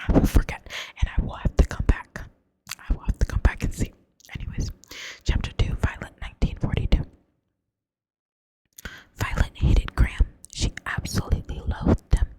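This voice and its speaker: Woman from the US South, Southern accent, whispered novel reading, soft and hushed, casual asides. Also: moderate pace, pauses often, poor audio. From Southern United States. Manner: soft whisper, occasional stumbles and self-corrections. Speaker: reader.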